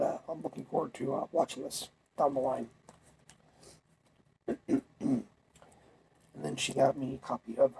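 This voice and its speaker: Gravelly young man, congested. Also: mid-range voice, a little hiss or hum, low-quality recording. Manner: relaxed, rambling, many fillers and restarts. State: congested, recovering from a cold. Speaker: vlogger. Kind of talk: casual chat.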